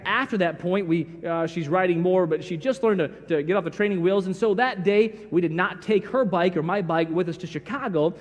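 High-voiced pastor preaching in a church. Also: male voice. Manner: folksy, animated storytelling, acts out dialogue. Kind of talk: sermon. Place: large church sanctuary. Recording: long reverb of church hall.

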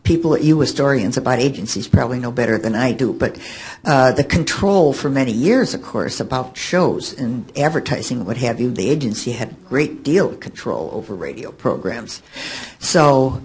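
An older woman recalling things informally on a panel, halting as she searches for her words. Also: rumbling low, expressive, a little mellow. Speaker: panelist, fan and researcher of an old radio show. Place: indoor hotel conference room. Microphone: table microphone on stand. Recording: noisy hotel meeting room.

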